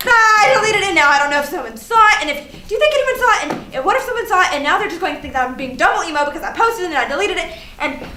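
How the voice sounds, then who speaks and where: A woman's voice: very high-pitched, animated, very fast, hardly any pauses. A woman acting a frantic, melodramatic young woman who rambles and exclaims in a comedic staged reading. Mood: anxious, exasperated. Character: frantic young woman agonizing over social media feud. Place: live staged reading in a room. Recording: roomy, distant mic, faint noise.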